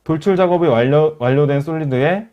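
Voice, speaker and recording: A man presenting a software webinar, explaining step by step in polite, formal speech. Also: on the high side, a little fast, muffled recording. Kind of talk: software demonstration, part rehearsed narration.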